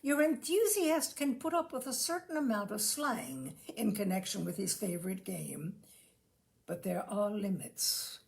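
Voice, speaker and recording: Animated, creaky-voiced woman reading aloud. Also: medium pitch, measured pace. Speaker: storyteller reading a humorous essay aloud. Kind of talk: literary audiobook-style reading of a comic essay.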